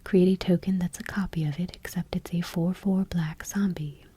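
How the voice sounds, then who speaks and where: A woman host speaking in a soft, breathy whisper, gently and without hurry, reading text aloud in ASMR style. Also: on the low side, fairly even intonation, moderate pace, no echo.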